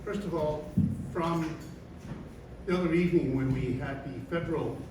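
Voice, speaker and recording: A man's voice: a little high, normal speed, distant mic, background noise. A man opening a public candidates meeting, hesitant with many fillers. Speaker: chamber of commerce president moderating a candidates forum. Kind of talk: informal public meeting remarks. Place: large echoey hall, bar room. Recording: echoey hall recorded from afar. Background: room ambience.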